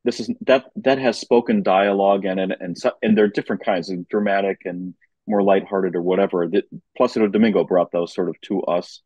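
Low, slightly husky man chatting. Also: steady tone, fairly quick, darkish tone, dry recording, highs cut off. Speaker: podcast guest, opera commentator. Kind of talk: casual chat.

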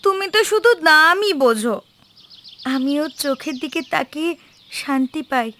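A woman playing a doting but sharp-tongued young village wife in a cartoon, affectionate and teasing. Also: sits high, expressive, normal speed.

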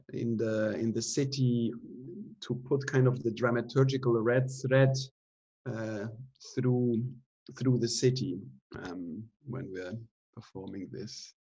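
A man in his forties talks over a laptop webcam from a room at home. He explains things loosely and keeps pausing on ums and uhs, in a voice of middle pitch. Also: poor audio. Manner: relaxed, explaining with many fillers. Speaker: theatre director giving an artist talk. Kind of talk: casual online talk. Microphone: laptop webcam video call.

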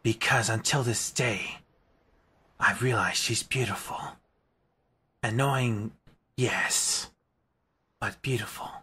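A man voicing a brooding, aloof hedgehog anti-hero in a cartoon comic dub, terse, cold and deadpan, with gravel in the voice. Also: on the low side, slow pace, pauses often, exaggerated voice. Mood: matter-of-fact. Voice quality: husky, gravelly. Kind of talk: fan comic dub acting.

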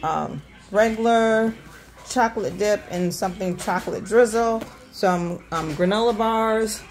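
A middle-aged woman hosting a casual grocery haul vlog at her kitchen counter, talking fast and chatty with lots of ums while filming on a handheld phone. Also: mid-range voice, faint music behind. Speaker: host of a budget vlog. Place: indoors at a home kitchen counter. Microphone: handheld phone filming items.

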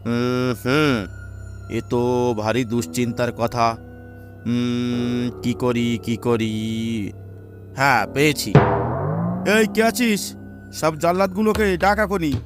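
A man's voice: medium pitch, takes it slowly, long pauses, lightly acted. A man playing a fretful, commanding king in a fairy-tale cartoon, deliberating theatrically. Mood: pondering. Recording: music behind the voice.

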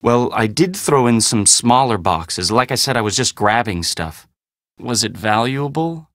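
An actor voicing a guarded, weary young heir in a video game, with a casual, sarcastic, slightly awkward manner. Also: male voice, medium pitch, lively intonation, unhurried, fairly bright tone, no echo, studio quality. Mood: sardonic, guarded. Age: young adult. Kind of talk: video game dialogue acting.

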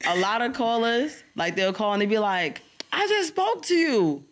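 Young NY woman, rapper guest: deep, strong voice, blunt slangy delivery, studio boom mic. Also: moderate pace. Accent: New York. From New York City. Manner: blunt, animated, street slang. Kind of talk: casual interview chat. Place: podcast studio lounge. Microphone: studio mic on boom arm, headphones.

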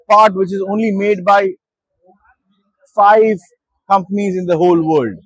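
Husky Indian man touring a stall. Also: high-pitched, no echo, rough recording. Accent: Indian English. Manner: hesitant, with many fillers and restarts. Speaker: managing director at a trade show. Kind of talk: guided product walkthrough. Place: busy exhibition hall stall.

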